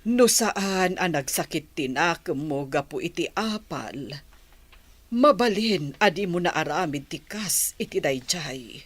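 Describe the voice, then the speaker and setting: A middle-aged woman with a Filipino accent playing a patient village mother who gives gentle moral advice in a radio drama. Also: lowish voice, animated, slow pace, slightly rough, dry recording, heavily acted. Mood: gently reproachful.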